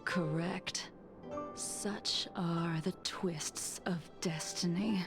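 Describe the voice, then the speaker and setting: A woman playing a cold, ancient swordswoman in a video game, speaking softly and formally with a breathy edge. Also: lowish voice, steady tone, over music, full character voice. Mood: composed, grave. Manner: slow, measured, formal, cryptic. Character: cold, ancient swordswoman reminiscing about fallen comrades. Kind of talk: scripted dramatic video game dialogue.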